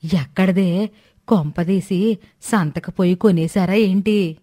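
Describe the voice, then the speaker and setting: A woman's voice: on the low side, slow pace, dark tone. A woman voicing a stingy old village grandmother in a moral storybook tale, evasive and grumbling in a folksy, exclaiming way. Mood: suspicious.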